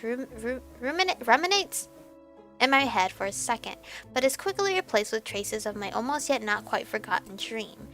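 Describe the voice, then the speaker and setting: A woman reading a visual novel aloud as expressive narration, with animated reactions and some stumbling over words. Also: on the high side. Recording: background music behind voice. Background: game music.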